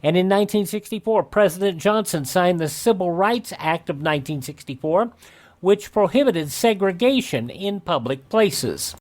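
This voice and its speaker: A man's voice: high voice, lively intonation, moderate pace, darkish tone. A man hosting a radio program, reading through dated history notes and commenting on them. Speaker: radio show host.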